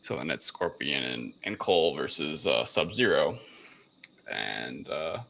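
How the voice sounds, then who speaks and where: A man's voice: a bass voice, sing-song, unhurried, vocal fry, a bit bright. A man co-hosting a review show, chatting through a recap in loose, informal phrases. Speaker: podcast co-host reviewing films. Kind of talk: casual chat recap. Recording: faint background noise.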